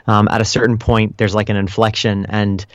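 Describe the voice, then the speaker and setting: Man, lowish voice, interview guest, measured explanatory delivery, conversational, close dry sound. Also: little pitch movement, no echo. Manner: explanatory, measured, hedging with "kind of" and "you know". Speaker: interview guest, customer support director.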